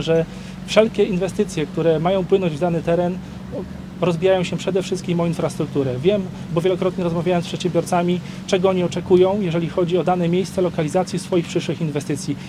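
Middle-aged male local official, high voice, earnest press remarks, clear street noise behind. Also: steady tone, fairly quick. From Poland. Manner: earnest, emphatic, some restarts. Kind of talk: press statement. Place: outdoor town square. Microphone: cluster of press microphones on stands.

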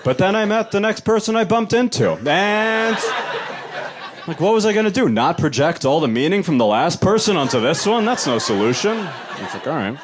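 A young man performing a stand-up comedy set on a club stage, wry and animated, with laughter from the audience. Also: normal speed, background noise. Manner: wry, animated, jumps into high-pitched voices for quoted people.

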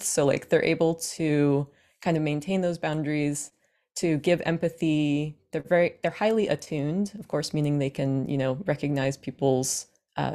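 A woman's voice: sits low, darkish tone. A woman in her thirties, a coach, explaining her field in an interview over a video call from her living room, using everyday comparisons. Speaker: attachment coach, guest. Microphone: webcam on a video call.

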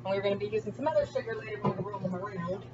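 A woman's voice: mid-range voice, very fast. A woman hosting a home cooking vlog, talking informally and thinking aloud as she works in her kitchen. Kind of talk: casual chat while cooking. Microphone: camera on the counter, at a distance. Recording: distant, dull sound with loud background noise.

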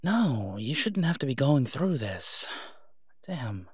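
A man's voice: medium pitch, very animated, breathy edge, no echo, heavily acted. Worried, devoted boyfriend played by a man, dry close sound. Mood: shocked, sympathetic. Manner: halting, earnest, conversational. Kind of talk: intimate audio roleplay drama.

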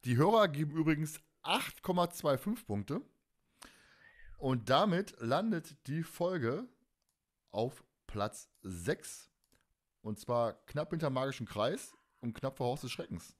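Guy hosting a fan podcast, mid pitch, chatty and teasing, full of opinions. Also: expressive, slow. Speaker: podcast host. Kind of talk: casual fan podcast chat.

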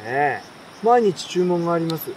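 A folksy male bird catcher from a storybook , acted out by a man reading aloud, talks in a colloquial, self-assured way. The voice is a little high, matter-of-fact and explaining, with faint outdoor crickets behind. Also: big pitch swings, measured pace, darkish tone. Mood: proud, inviting. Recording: outdoor night crickets and campfire noise.

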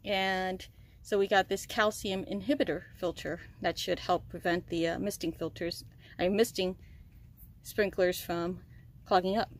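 A woman hosting a casual how-to walkthrough outdoors in her backyard, filming on a handheld phone, upbeat and chatty, with fillers and pauses. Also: lowish voice, fairly even intonation, a little hiss or hum. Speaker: channel host. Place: outdoors in a backyard chicken run.

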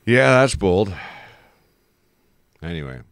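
A man hosts an online show with blunt, casual reactions. His voice is low and gravelly, recorded dry and close. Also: big pitch swings, slow pace, fairly bright tone, dry recording. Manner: blunt, sweary.

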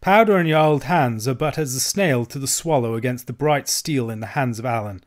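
Man acting a bold, sneering Scottish Highlander. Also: fairly quick, natural voice. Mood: threatening. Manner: taunting, forceful bargaining. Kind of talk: audiobook character voice.

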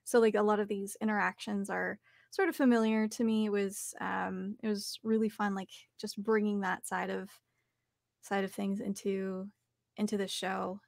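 A young woman with a Canadian accent chats casually as a guest in an interview. Her voice sits at an ordinary pitch for a woman. The sound is dry, close and free of room echo. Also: level tone, moderate pace, vocal fry. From Calgary, Canada. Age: young adult. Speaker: interview guest, actor and voice artist. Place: small home studio, video call.

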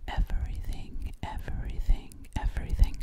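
A young woman playing a gentle, curious alien girl in an ASMR roleplay, whispering breathily very close to the mic and softly repeating her phrases. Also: natural voice. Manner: slow, soothing, repeats words and phrases. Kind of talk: ASMR personal-attention roleplay. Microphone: very close to a large microphone.

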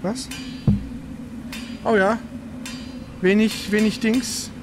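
A man's voice: sits high, steady tone, very slow. A man streaming a video game, thinking aloud in casual, informal live commentary. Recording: faint background noise.

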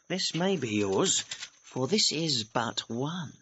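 An actor playing a cheeky, quick-witted young manservant in a classical audio drama, impudent and mock-deferential. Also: male voice, mid-range voice, animated, background noise. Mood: teasing. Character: cheeky, quick-witted young servant mocking his lovesick master. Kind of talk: classical stage-style audio drama acting. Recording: dull, band-limited vintage audio recording.

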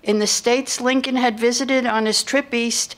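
A woman's voice: on the high side, fairly even intonation, normal speed. An older woman of about seventy reading scripted narration aloud at a lectern microphone in a lecture room. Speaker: narrator in a staged radio play by retired students. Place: lecture room with seated audience. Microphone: microphone on stand at lectern.

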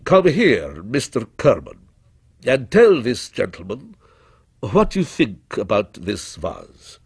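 Man acting smooth, pushy shopkeeper with swooping pitch. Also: wildly swooping pitch, slow, dry recording, clean studio sound, heavily acted. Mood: coaxing. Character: smooth, persuasive male shop proprietor, politely pushy.

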